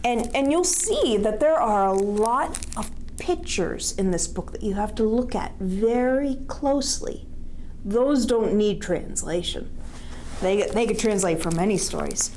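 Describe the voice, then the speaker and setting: A woman's voice: mid-range voice, lively intonation, a little slow, a little mellow, a little hiss or hum, poor audio. A woman reading a picture book aloud to young children, expressively, with questions and asides to her listeners.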